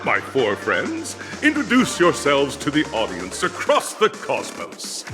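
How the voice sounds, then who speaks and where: An actor playing a pompous rich tycoon who introduces himself in a grandiose, self-important way in a game. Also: male voice, on the high side, huge pitch swings, a little slow, music in the background.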